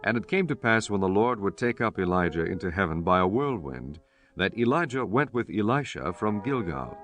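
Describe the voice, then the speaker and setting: Low-pitched, animated male scripture narrator. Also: moderate pace. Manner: solemn, formal. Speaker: audiobook narrator. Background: music.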